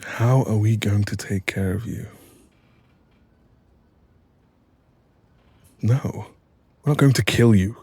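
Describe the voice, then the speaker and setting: A man playing an apologetic, exasperated mafia boss in an audio roleplay, with a husky voice and a wry, sweary, chatty manner. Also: on the low side, normal speed, darkish tone, dry recording. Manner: wry, sweary, chatty, calls listener doll. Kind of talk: audio roleplay acting, intimate one-sided dialogue.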